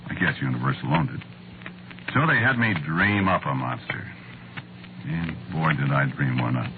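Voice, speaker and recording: Noisy old radio drama, sardonic put-upon American writer, lively swooping intonation, halting conversational delivery. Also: male voice, extremely low pitch, narrow, tinny audio. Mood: wry, confiding. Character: wry, put-upon male Hollywood horror screenwriter. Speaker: narrator and lead actor. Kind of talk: 1940s radio acting, conversational first-person narration.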